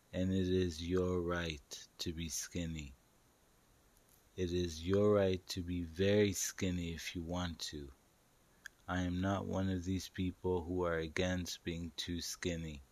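A man's voice: sits low, darkish tone, dry recording. A man narrating a guided meditation in a soothing, instructive, hypnotic manner, repeating short phrases.

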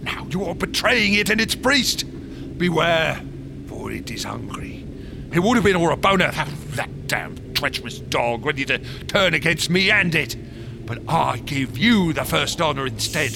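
An actor playing a deranged, fanatical wax sculptor in a horror radio drama, theatrical and gloating. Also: male voice, fairly high pitch, animated, normal speed, vocal fry, background noise. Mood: menacing gloating. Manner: theatrical, swinging from whispers to shrieks, cackling.

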